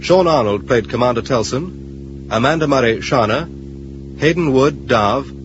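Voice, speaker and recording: A male announcer with a mid-pitched voice reads scripted radio credits. Plucked string music plays clearly behind him on a slightly dull recording. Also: expressive, unhurried. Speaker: closing announcer. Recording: dull band-limited old radio recording.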